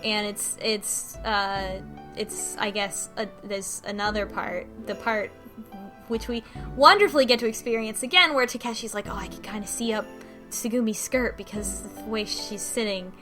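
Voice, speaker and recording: A woman co-hosting a podcast, giving a casual, rambling recap with animated retellings and music behind her. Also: medium pitch, moderate pace. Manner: animated retelling with mock quotes. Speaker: podcast co-host recapping a game.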